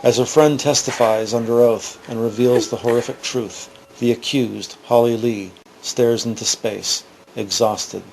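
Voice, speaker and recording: A man reading scripted dramatic narration for an audio drama, deliberate and grave. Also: mid-range voice, fairly even intonation, vocal fry, a little mellow, a little hiss or hum, rough recording.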